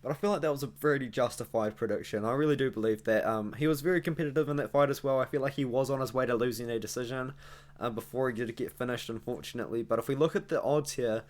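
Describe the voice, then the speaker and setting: A man's voice: medium pitch, fast talker, a little mellow. A young man with a New Zealand accent, hosting an MMA analysis channel and chatting through a fight prediction in a rambling, self-correcting way, with asides to viewers. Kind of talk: casual fight prediction monologue.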